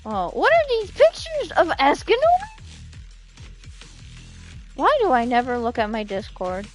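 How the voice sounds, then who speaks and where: Girl streamer w a high voice, takes her time, reacts big and chats to viewers, bit of music under. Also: wildly swooping pitch, a little slow. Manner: playful, exclamatory, reactive. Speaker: game streamer.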